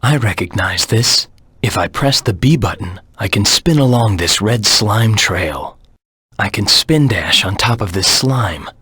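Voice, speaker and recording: A husky, low, brooding video game anti-hero. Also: male voice, a bit bright, no room sound, clean studio sound, full character voice. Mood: cool, matter-of-fact. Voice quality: husky, gravelly. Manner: terse, serious, deliberate self-talk. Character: Shadow, brooding male anti-hero hedgehog, cold and determined. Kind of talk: video game character voice lines.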